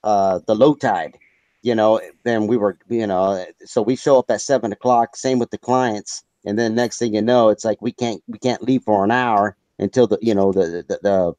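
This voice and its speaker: A man with a slightly raspy voice, chatting casually and rambling with lots of "you know". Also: fairly low pitch, fairly even intonation, normal speed, mellow, no room sound. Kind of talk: casual chat on a live show.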